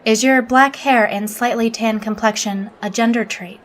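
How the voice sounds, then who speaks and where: A woman playing a logical alien officer in an audio drama, interviewing in a formal, measured, reserved manner. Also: fairly high pitch, fairly even intonation, natural voice. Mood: detached, curious.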